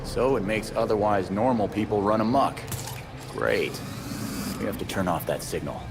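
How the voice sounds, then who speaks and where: An actor as a gruff male vigilante in a video game, very low in pitch. He is terse and sardonic, and loud music plays behind him. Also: moderate pace, lightly acted. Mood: wary, sardonic.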